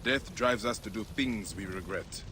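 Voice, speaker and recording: An actor playing a brooding Egyptian warrior and vengeful grieving father in a game, speaking gruffly and with measured gravity. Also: on the low side, noisy background, natural voice. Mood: grave, weary. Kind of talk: video game cutscene acting.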